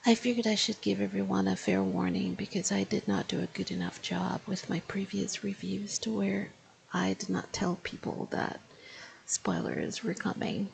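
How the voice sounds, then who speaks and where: A woman in her fifties talks through a TV review like an English teacher, deliberate and explanatory, slowing to stress her points. Her voice is heavily gravelly with a lot of fry, and a faint hum of room noise sits behind her. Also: medium pitch, rough recording. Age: 50s. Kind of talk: spoken video review.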